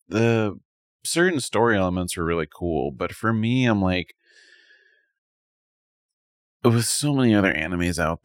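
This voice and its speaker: A man's voice: deep voice, no echo, clean studio sound. A man co-hosting a podcast in casual chat, rambling a little and thinking aloud as he gives his opinions. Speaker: podcast co-host. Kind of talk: casual chat, reviewing anime.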